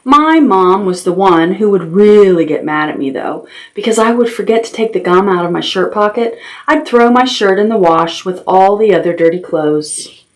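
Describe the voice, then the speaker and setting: A woman hosting a children's storytime, reading aloud expressively and talking simply and directly to young listeners. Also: mid-range voice. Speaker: library storytime host. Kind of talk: children's storytime with action songs and read-aloud.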